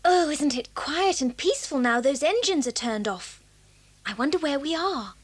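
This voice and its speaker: A woman's voice: sits high, animated, normal speed, no echo. A woman voicing a practical, alert schoolgirl adventurer in a children's audio drama. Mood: composed.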